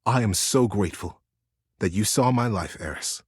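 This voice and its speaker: A man voicing a sensitive young man in a storybook, speaking in a halting, sincere way. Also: lowish voice, slightly rough, dry recording, studio quality. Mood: confused, grateful. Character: hesitant, earnest, sensitive young man and brother.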